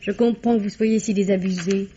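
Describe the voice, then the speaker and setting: An actress playing a young theater actress with a light, teasing, ironic manner, in an old radio play. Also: female voice, medium pitch, fairly even intonation, brisk, background noise, lightly acted. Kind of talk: 1950s French radio drama acting. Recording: muffled old radio broadcast.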